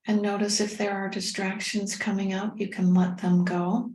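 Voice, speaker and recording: A woman leading a guided meditation as a teacher, speaking in a gentle, unhurried, soothing way with pauses. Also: medium pitch, level tone. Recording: roomy, echoing sound.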